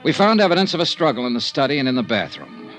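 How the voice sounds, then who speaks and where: A man's voice: medium pitch, big pitch swings, quick pace, fairly bright tone, soft background music. An American actor playing a wry, cool private investigator in a vintage radio detective drama, narrating his case in a dry, clipped hardboiled way. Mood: matter-of-fact narration. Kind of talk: 1940s-50s radio detective acting.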